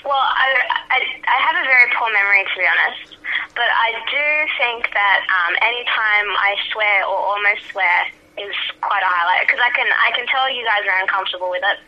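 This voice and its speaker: A young-sounding Australian woman with a high voice, a journalist chatting frankly as a podcast guest. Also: fairly quick. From Sydney, Australia. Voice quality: high-pitched. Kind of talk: casual podcast chat. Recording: dull phone line.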